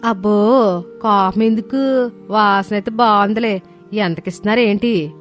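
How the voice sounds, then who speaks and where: A woman voicing a nosy older village neighbour with a sharp, exclaiming, rustic way of talking in a cartoon moral story. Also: mid-range voice, measured pace, soft background music, natural voice. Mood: grudging. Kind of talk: dramatised moral story dialogue.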